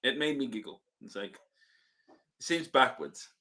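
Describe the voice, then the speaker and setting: Young male co-host, bright and animated, jokey with frequent laughter. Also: medium pitch, a little slow, a little hiss or hum. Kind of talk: casual review chat.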